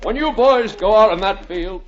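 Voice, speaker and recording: An actor playing an excitable, anxious American college football coach in a vintage comedy. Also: male voice, sits high, moderate pace, crowd noise, natural voice. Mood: anxious pep talk. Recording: muffled old broadcast with audience and hiss.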